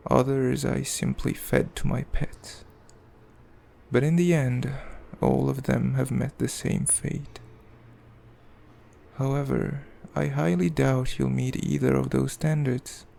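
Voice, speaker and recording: A man playing a cruel, possessive demon king in an ASMR roleplay, speaking in a haughty, mocking, measured way with a gravelly voice. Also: medium pitch, little pitch movement, mellow. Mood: cold disdain. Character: cruel, possessive male demon king, coldly amused, condescending. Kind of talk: ASMR roleplay, slow intimate villain monologue.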